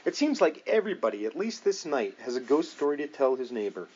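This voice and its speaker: A man's voice: mid-range voice, sing-song, brisk, a little mellow, husky, lo-fi sound. A man narrating a nonfiction book as an audiobook reader, in a scripted reading style.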